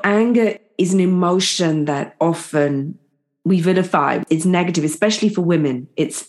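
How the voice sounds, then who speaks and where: A woman interviewer asking attentive, affirming questions. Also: low voice. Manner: attentive, affirming, with soft listening sounds.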